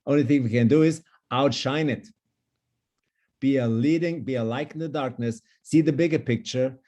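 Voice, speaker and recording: Sex: man. Native language: German. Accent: German. Role: longevity coach on a video call. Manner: emphatic, upbeat. Timbre: warm, dark. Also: mid-range voice, normal speed, highs cut off. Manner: enthusiastic, emphatic. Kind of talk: online panel discussion.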